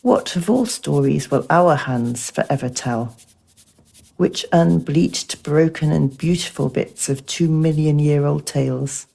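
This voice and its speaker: A woman giving a measured, deliberate reading of scripted poetic narration for an audio piece. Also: low voice, creaky, dark tone.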